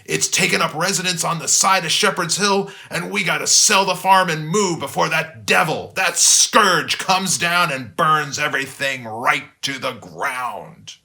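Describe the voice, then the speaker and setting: A man's voice: a little high, a little slow, creaky, hardly any pauses, bright, forceful tone, voice pushed up, exaggerated voice. A man voicing a spooked, rambling rabbit farmer dad in a children's storybook, with rustic, ungrammatical, dramatic speech. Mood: alarmed, dramatic.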